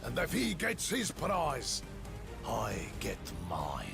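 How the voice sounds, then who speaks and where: A scheming video game villain with a very gravelly voice, taunting and menacing, who speaks slowly and swings wildly in pitch. A very loud score booms behind him. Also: male voice, huge pitch swings, over loud music, exaggerated voice. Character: scheming male villain craving a throne.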